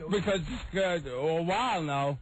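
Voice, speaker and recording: A man with labored speech, giving short, halting, repetitive answers as a show guest. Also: fairly high pitch, over a noisy crowd. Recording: noisy radio audio with clicks.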